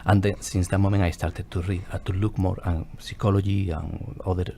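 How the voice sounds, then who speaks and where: A man's voice: low voice, flat delivery, fairly quick, vocal fry, mellow, a little hiss or hum. A man from Spain with a Spanish accent, a guest on a radio interview, telling his story earnestly and searching for English words.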